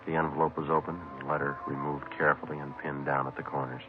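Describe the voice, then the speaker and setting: A man's voice: extremely low pitch, level tone, fast talker. An actor with an American accent playing a terse police detective, narrating his case in clipped, matter-of-fact 1950s radio style. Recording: tinny vintage radio recording, music.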